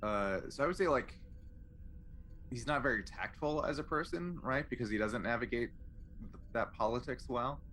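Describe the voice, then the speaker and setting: A man's voice: mid-range voice, moderate pace, fairly bright tone, slight background noise. A man chatting casually as a player in a tabletop game, with a wry, joking manner.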